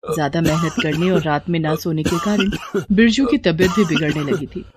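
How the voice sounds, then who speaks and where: A woman's voice: deep voice, normal speed, crowd noise. A woman narrating a scripted moral story.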